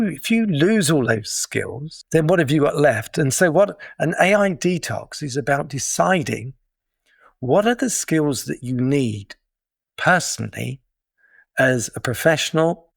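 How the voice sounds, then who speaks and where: A man's voice: fairly high pitch, gravelly, dry recording, studio quality. Thoughtful British man, middle-aged. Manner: thoughtful, explanatory, with small restarts. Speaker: guest, digital detox coach. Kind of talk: casual interview talk.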